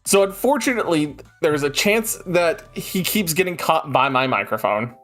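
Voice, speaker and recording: A man's voice: a little high, moderate pace, soft background music. A man hosting a stream from his home setup, chatting casually and reading card rules aloud. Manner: animated, reads rules text aloud in bursts. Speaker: streamer host.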